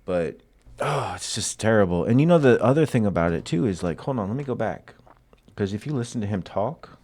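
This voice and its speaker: Laid-back low-voiced man joking around. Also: animated, brisk, a little mellow. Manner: joking, drawling, slangy asides. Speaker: commentary host. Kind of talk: casual political commentary.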